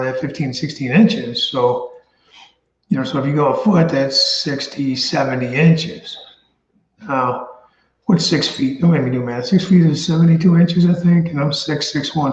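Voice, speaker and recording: A man hosting a casual chat, thinking out loud in a meandering way. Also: medium pitch. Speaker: show host. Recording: roomy, slightly dull audio.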